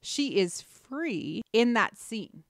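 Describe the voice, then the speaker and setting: A woman's voice: on the high side, big pitch swings, very slow, creaky, no room sound, studio quality. A woman hosting a podcast, talking through ideas analytically in an earnest, emphatic way. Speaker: podcast host.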